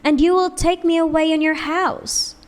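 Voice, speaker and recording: A woman voicing a gentle, willing young maiden in a storybook fairy tale. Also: very high voice, flat delivery, moderate pace, pitched above the performer's own voice. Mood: hopeful.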